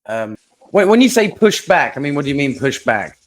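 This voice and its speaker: A man's voice: fairly high pitch, sing-song, brisk, a little hiss or hum. A man hosting a podcast interview, talking casually and repeating words while he gathers his thoughts. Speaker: podcast host.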